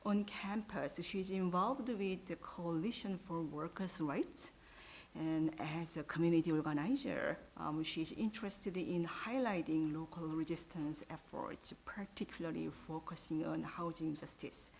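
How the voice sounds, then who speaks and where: A woman's voice: deep voice, a little slow, gravelly, a little mellow, a little hiss or hum. A woman moderating an academic panel, reading out speaker introductions and stumbling a little. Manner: reads out bios, stumbles a little.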